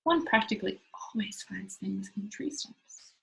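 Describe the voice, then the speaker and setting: A woman acts out a cheeky, carefree girl of nine from a storybook. The pitch jumps about in a lively way and the tone has a slightly husky grain. Every claim comes out boldly, as plain fact. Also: measured pace, faint music behind, heavily acted. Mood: breezy, assured. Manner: emphatic, matter-of-fact declarations. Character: bold cheeky nine-year-old girl, boastful and carefree. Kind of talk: children's storybook read-aloud.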